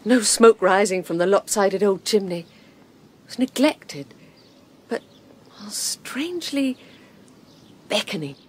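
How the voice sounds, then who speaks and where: An actress in her thirties playing a playful, adventurous wife in a radio drama, speaking in a teasing, lively way. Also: medium pitch, slow, long pauses, a little hiss or hum. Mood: wistful. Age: 30s.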